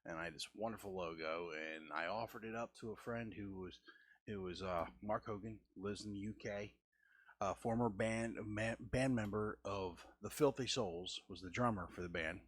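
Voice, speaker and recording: A man in his forties hosting a casual art show, talking in a halting way with frequent stammers and restarts. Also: dry recording. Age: 40s. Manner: halting, restarts and stammers, deliberate lowered delivery. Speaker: host. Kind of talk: casual art-stream chat.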